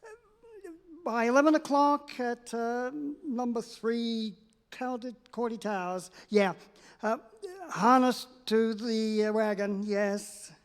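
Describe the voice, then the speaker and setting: A harried male city editor, acted live by a stage performer, hesitant and placating, stumbling through his sentences. Mid-pitched and ordinary in tone. The sound carries a long reverb from a large concert hall. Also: steady tone, takes it slowly, smooth, close to an everyday voice. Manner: hesitant, full of uh's, placating. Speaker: amateur radio play actor. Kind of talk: live staged radio play reading.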